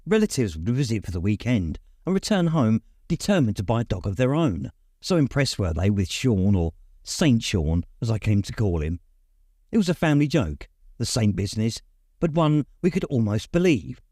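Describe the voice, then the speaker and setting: A man reading a memoir aloud as an audiobook narrator, in measured storytelling phrases. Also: lowish voice, very animated, dark tone, dry recording, clean studio sound. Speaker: audiobook reader. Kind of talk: scripted literary narration.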